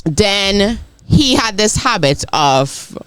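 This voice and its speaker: A woman's voice: medium pitch, slow, smooth, dry recording. A young adult woman with an accent, a podcast co-host telling stories in casual chat. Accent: non-native English accent. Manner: animated, emphatic storyteller.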